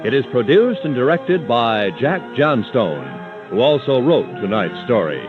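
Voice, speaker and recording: A man's voice: mid-range voice, big pitch swings, measured pace. A man announcing a radio show in a scripted 1950s broadcast style. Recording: dull vintage radio, music behind. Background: music.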